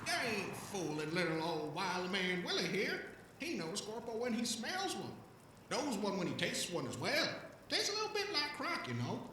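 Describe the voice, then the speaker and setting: A man playing an excitable, folksy old alien vagrant in an audio drama, rambling and stammering in a rough, coarse voice. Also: sits high, moderate pace, heavy reverb, recorded from a distance, slight background noise, exaggerated voice, poor audio. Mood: rambling, suspicious. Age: older. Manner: folksy, rambling, stammering, talks of himself in third person. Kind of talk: audio drama character acting.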